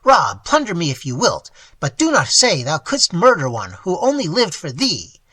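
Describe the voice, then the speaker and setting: A man's voice: huge pitch swings, normal speed, bright, forceful tone, husky, no echo, heavily acted. A man voicing a frail old miser who cries out in anguish and pleads. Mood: pleading. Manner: shrill, wailing cries.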